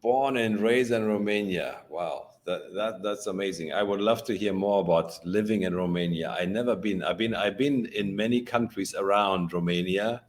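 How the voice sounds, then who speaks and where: A man's voice: lowish voice, moderate pace, hardly any pauses. A man from Ukraine hosting a casual podcast interview, friendly and repeating words as he talks. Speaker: podcast host.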